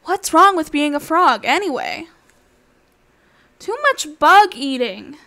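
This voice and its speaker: Young frog for a picture book, female reader: high, very animated, whiny, eager, stubborn. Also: slow pace, full character voice. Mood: discontented. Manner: protesting, childlike questioning. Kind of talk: animated children's storybook read-aloud.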